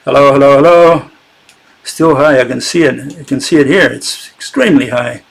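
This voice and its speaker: A man's voice: mid-range voice, moderate pace, gravelly. A man hosting a casual live-streamed talk, sarcastic and chatting with his viewers as he goes. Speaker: channel host giving a critique.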